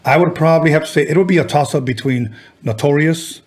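A middle-aged man from Southern California hosting a podcast, asking questions in a relaxed, conversational way. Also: fairly high pitch, fast talker. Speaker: podcast host. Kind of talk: casual podcast interview.

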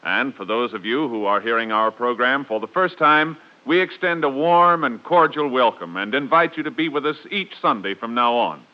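A man's voice: mid-range voice, moderate pace, hardly any pauses. A man announcing formally in the style of 1950s radio. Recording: muffled old radio recording.

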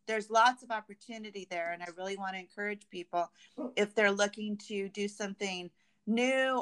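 A woman speaking as an interview guest about company work, conversational and enthusiastic, often halting as she searches for words. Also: medium pitch, fairly bright tone, clean tone. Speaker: guest, construction company representative.

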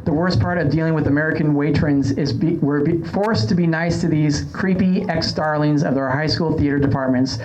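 A man's voice: a little high, fairly even intonation, a little fast, few pauses, darkish tone, a little hiss or hum, low-quality recording. A man hosting a podcast in casual conversation, with a sarcastic, wry way of talking. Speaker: podcast host. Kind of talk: casual chat.

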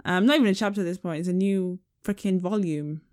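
A young London woman with a slightly nasal voice, talking frankly and joking on a podcast. She speaks in a relaxed, chatty way. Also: on the low side, fast. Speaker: podcast co-host. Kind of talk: casual chat.

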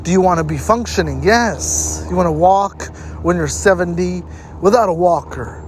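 A man with a noticeably high voice delivers motivational advice straight to his listeners. He leans on key points and repeats them for emphasis. The recording carries outdoor noise, with traffic and ambient sound around him. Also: moderate pace. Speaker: host giving health tips. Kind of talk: motivational advice talk to camera.

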